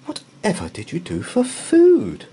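A man's voice: sits high, huge pitch swings, a little slow, mellow, slight background noise, voice pushed up, heavily acted. A man voicing a kindly, fretful married woman in a storybook, exclamatory and fussing. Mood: surprised, curious.

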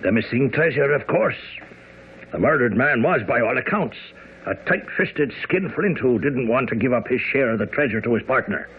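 An actor playing a smug, jovial Scotland Yard inspector in a radio drama. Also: male voice, medium pitch, lively intonation, normal speed, slightly rough. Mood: cocksure, skeptical. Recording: tinny band-limited radio broadcast.

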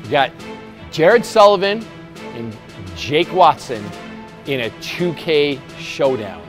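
Casting: American male gym coach, middle-aged, fairly high voice, emphatic and informal group address over prominent music. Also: very animated, takes it slowly, fairly bright tone. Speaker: gym instructor leading a challenge. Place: large gym hall with onlookers.

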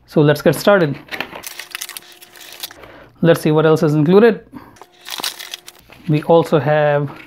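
A man narrating an unboxing and product review in a measured, matter-of-fact way. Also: fairly high pitch, a little mellow. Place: indoor room at home, at a table. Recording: home recording with clear background noise. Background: noise, handling clicks.

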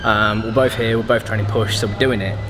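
A young British man chatting casually to camera while filming himself in a large, bright gym. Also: fairly low pitch, fairly even intonation, brisk, heavy background noise. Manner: chatty, rambling with fillers. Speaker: vlogger. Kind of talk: casual vlog chat to camera. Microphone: camera-mounted shotgun mic.

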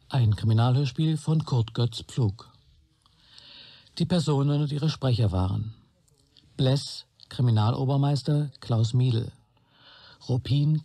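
Male radio announcer reading credits formally, slow and mellow, dry sound. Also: mid-range voice, gravelly, no echo.

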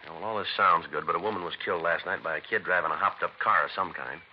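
An actor playing a terse, deadpan American police detective in a low-key 1950s radio drama. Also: male voice, fast, close to an everyday voice. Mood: businesslike. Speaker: police sergeant and narrator. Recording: dull, noisy 1950s radio recording.

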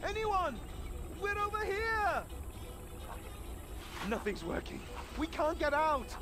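A man playing an eager, adventurous young desert companion in a video game, with a dramatic, expressive delivery. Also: very high-pitched, slow pace, heavily acted. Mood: panicked. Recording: game music behind the voice. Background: background music.

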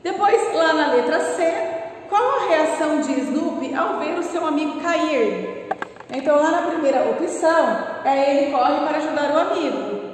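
A woman with a Brazilian Portuguese accent leads a recorded class, speaking in a high voice and often checking in with the children. She sounds distant from the camera. Her voice rings with a long classroom echo. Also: animated, normal speed, a little hiss or hum. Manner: patient and explanatory, asks the class questions and tags "né?". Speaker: primary school teacher. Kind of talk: recorded online class, correcting workbook exercises. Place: classroom in front of a whiteboard, indoors. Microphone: far camera.

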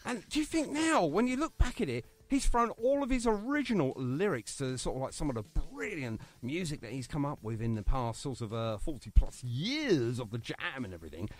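A man's voice: on the high side, very animated, a little fast, few pauses. A man hosting a casual radio interview, chatty and jokey. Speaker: radio host. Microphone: phone line to guest.

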